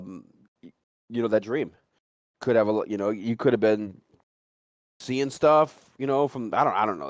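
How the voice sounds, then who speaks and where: A man with a fairly low voice hosts a casual stream chat. He rambles loosely and slangily and swears often. The sound is dry, with little room on it. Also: no room sound. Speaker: livestream host.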